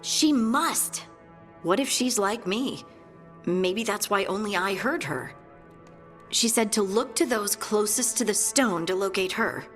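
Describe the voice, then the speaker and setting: A woman's voice: medium pitch, measured pace, fairly bright tone, faint music behind, natural voice. A woman voicing an earnest, hopeful female dwarf in a video game, speaking in a thoughtful, hesitant way.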